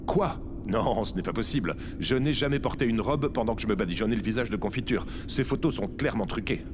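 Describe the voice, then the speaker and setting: A man's voice: fairly low pitch, expressive, fairly quick, background noise, close to an everyday voice. A man playing a vain, flustered president in an audio drama, talking hurriedly and defensively. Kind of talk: scripted audio fiction acting.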